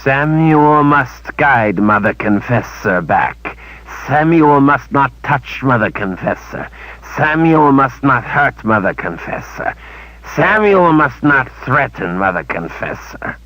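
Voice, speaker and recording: A man voicing a squat, whining creature servant who speaks in slow, broken phrases. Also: mid-range voice, very animated, bright, forceful tone, no echo, voice pushed up, heavily acted, low-quality recording. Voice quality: slow, halting, broken speech. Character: squat creature servant, whiny, crude speech.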